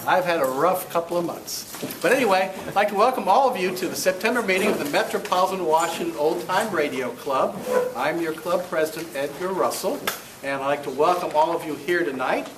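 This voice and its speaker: Guy chairing a club meeting, rambles and corrects himself, echoey room with a bit of noise. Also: fairly high pitch. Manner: halting, rambling, self-correcting. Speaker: club president hosting a meeting. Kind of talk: informal welcome and announcements to a club meeting. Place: meeting room, recorded from a distance. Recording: roomy, distant mic, faint noise.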